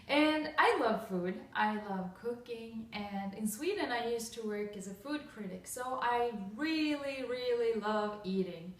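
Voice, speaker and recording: A young woman from Russia talks through a recipe at an unhurried, measured pace, her voice a touch higher than most. She chats casually and halts often on fillers and restarts. The sound is roomy and echoey, with the mic sitting some distance from her in a home kitchen. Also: fairly bright tone. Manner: chatty, halting, with many fillers and restarts. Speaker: cooking vlogger.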